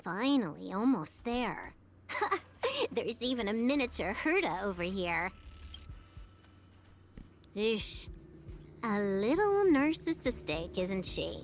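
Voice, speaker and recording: A woman's voice: medium pitch, big pitch swings, slow, long pauses, slight background noise. A woman voicing a smug, playful young female hacker in a video game, teasing and nonchalant. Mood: amused, teasing. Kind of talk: scripted video game dialogue. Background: game music.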